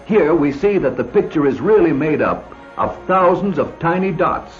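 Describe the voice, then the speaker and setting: Higher-pitched vintage film narrator, a man. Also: animated, moderate pace, soft background music. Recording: dull old film soundtrack.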